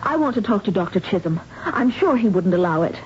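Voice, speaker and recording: An actress playing a compassionate, headstrong young woman in a 1970s radio drama, with an earnest, expressive delivery. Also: mid-range voice, fairly quick, lightly acted. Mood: indignant, pleading. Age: young adult. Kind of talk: 1970s radio drama acting and first-person narration. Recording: dull lo-fi radio broadcast, noisy.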